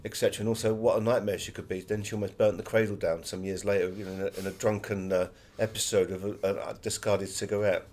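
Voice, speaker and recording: A man's voice: on the low side, fairly even intonation, fast, few pauses, a little mellow. A man giving conversational interview answers as an author, full of anecdotes.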